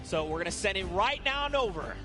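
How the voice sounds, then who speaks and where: A man calling a live esports match in a large arena, excitable and shouting at peaks, his voice going hoarse. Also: high-pitched, fast talker, over music. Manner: excitable, often shouting over the crowd. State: losing his voice. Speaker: esports caster.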